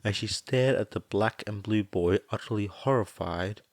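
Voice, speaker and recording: A man reading a fanfiction story aloud as narrator, steady and measured. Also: fairly low pitch, no room sound, clean studio sound. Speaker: podfic reader.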